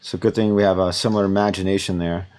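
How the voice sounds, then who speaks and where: Low-voiced man explaining a mix as he works. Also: fast. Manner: relaxed, rambling, many fillers. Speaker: audio instructor. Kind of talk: thinking-aloud mixing demonstration.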